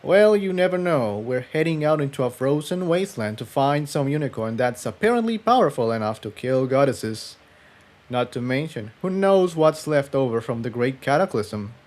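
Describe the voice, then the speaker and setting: A man voicing a wry, cautious male unicorn pony who tinkers, in a dry, low-key manner. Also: lively intonation, moderate pace, darkish tone, natural voice. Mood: wry warning.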